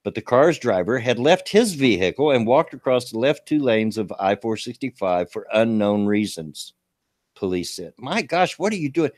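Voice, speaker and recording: A man in his late fifties with a gravelly voice, hosting a casual livestream talk show and reading local news in a folksy, rambling way. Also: medium pitch, sing-song, normal speed, a little mellow, no echo, studio quality. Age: 50s. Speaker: show host.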